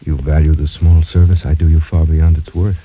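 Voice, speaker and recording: An actor playing a proud, doomed young French gentleman in formal, courtly, brooding old-time radio drama style. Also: a bass voice, little pitch movement, fairly quick, a little hiss or hum. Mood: tender. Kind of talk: 1940s-50s American radio drama acting. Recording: dull, muffled old radio broadcast recording.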